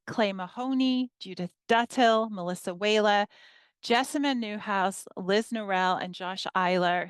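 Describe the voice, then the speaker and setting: Woman in her 50s giving a teaching talk, smooth clear voice, dry close sound, reads slides out calmly. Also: medium pitch, dry recording. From northern England. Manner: warm, measured presenting, reading slides aloud. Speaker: history professor giving a teaching workshop. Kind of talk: presentation to educators.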